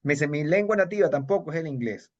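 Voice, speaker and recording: Fast-talking Venezuelan man, lo-fi sound. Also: on the high side, animated. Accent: Venezuelan Spanish. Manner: rambling, many fillers and restarts. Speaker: kung fu master and judge, interviewee. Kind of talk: casual interview storytelling. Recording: dull lo-fi audio.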